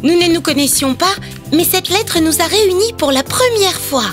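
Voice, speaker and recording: Brief: polite little cartoon leader, very high, kids' music behind. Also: female voice, lively intonation, moderate pace. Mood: earnest. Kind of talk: French cartoon dub. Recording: children's music playing behind.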